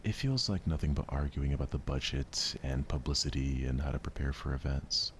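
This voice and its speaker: A man's voice: rumbling low, fairly quick. Man as friendly schoolgirl leader, assured, encouraging, visual novel dialogue, faint background noise. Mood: friendly, confident. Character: confident, friendly teenage girl club president.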